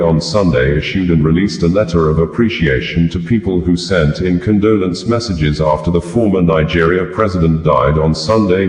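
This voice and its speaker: A man's voice: low-pitched, darkish tone, roomy sound. A man reading scripted news as a narrator, in a formal manner. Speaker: news reader.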